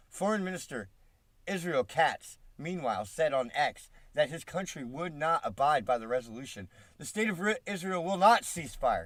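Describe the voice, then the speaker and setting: A man's voice: on the high side, no echo. Congested man streaming sarcastic commentary. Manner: swears freely, mocking asides. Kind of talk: live-stream commentary, reading news aloud and reacting to chat.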